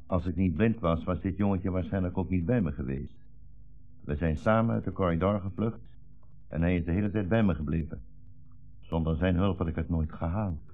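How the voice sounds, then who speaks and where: An older actor playing an old blind rabbi in a 1960s radio drama, a dignified, gently defiant prisoner who speaks with measured politeness and quiet irony. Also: male voice, sits low. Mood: dignified, polite. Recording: tinny, band-limited old radio recording, faint music.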